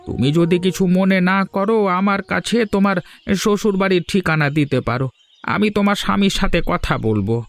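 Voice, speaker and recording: A man voicing a kind, supportive street-food seller in a cartoon drama, gentle and consoling. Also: high voice, fairly quick. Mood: sympathetic. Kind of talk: dubbed cartoon dialogue.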